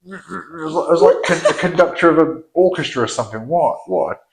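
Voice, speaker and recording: A man's voice: fairly high pitch, wildly swooping pitch, a little fast, creaky, rough recording. A man reacting casually to an old video of himself, hesitant and self-deprecating, often restarting his sentences. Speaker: husband, co-host.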